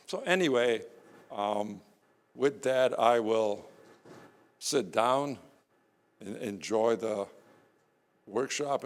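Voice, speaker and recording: A man hosting an event, giving informal opening remarks in a measured way with pauses. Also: on the low side. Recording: echoey hall.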